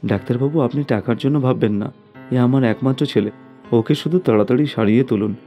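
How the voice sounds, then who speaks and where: A man's voice: medium pitch, fairly quick, warm tone, highs cut off, faint music behind, voice pushed up, natural voice. A man voicing a worried, eager father of an only son in a cartoon story. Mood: pleading, polite. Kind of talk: scripted cartoon story acting.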